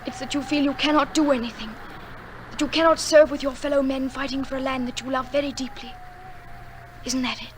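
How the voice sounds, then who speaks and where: A woman playing an earnest, tender young woman who narrates a remembered story in a radio drama, measured and full of feeling. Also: sits high, steady tone, slight background noise, close to an everyday voice. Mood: pleading, anxious.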